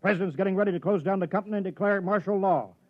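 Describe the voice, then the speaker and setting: Bossy, panicked executive, high voice, rapid-fire urgent orders, vintage radio play. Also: male voice. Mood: frantic, bossy. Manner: barking, urgent. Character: frantic, bossy company boss. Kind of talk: 1950s radio drama acting. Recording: dull vintage radio recording.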